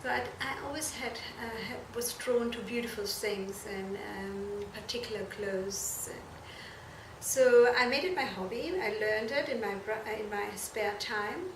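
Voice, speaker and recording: A woman from Germany, answering interview questions earnestly and haltingly, correcting herself as she goes. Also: on the high side. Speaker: fashion and shoe designer being interviewed. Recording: echoey room, distant mic, noisy background.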